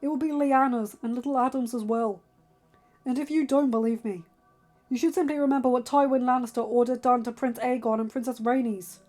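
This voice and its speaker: A woman's voice: a little high, fairly even intonation, fairly quick, soft background music, natural voice. Woman voicing a stern, exasperated young lord. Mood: grave, insistent. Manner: forceful, emphatic, pleading with reason. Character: stern, exasperated young lord and father, protective, weary of war.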